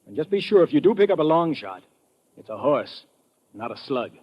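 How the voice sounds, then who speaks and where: An actor playing a chummy, cautioning American associate in an old radio drama, with a dry, slangy, knowing manner. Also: male voice, a little high, sing-song, brisk. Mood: teasing, cautioning. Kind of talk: 1950s radio drama acting. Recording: muffled, slightly noisy vintage radio recording.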